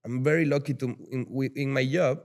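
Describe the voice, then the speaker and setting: A man from Venezuela with a Venezuelan Spanish accent, a native Spanish speaker, talking as a guest in a casual podcast interview, animated, often restarting and repeating words. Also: mid-range voice, fairly quick, vocal fry. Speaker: guest, rum brand ambassador. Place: lives in Miami.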